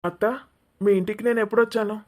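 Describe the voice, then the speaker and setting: A woman's voice: mid-range voice, fairly even intonation, quick pace, darkish tone, natural voice. Woman acting a polite, tactful young man. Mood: reasoning. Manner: tactful, placating. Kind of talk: cartoon family drama dialogue.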